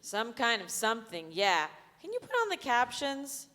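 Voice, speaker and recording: A woman's voice: fairly high pitch, huge pitch swings, moderate pace, sharp tone, exaggerated voice. A woman playing a brash, crude, flirtatious bar regular in a live staged play reading, blunt and casual in manner. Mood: blunt, puzzled. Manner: foul-mouthed, casual and blunt. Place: theatre stage before a live audience. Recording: reverberant theatre hall, live stage.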